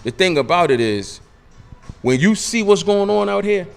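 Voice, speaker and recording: Emphatic forty-something man, higher voice. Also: sing-song, normal speed, creaky, smooth, faint music behind. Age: 40s. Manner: emphatic, repetitive, street slang. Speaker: podcast guest. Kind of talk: casual radio interview.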